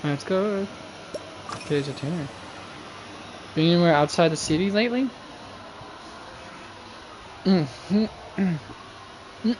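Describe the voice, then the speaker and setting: Casual game streamer of mid pitch, musing aloud between lines of read-out text, clear background noise. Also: slow pace, pauses often, a little mellow, poor audio. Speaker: game livestreamer.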